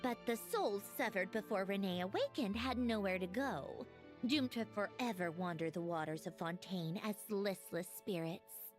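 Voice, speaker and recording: An actress playing a calm, confident, faintly smug female agent in a game cutscene. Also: mid-range voice, normal speed, close to an everyday voice. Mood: explaining. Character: cool, self-assured female Fatui agent. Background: game music.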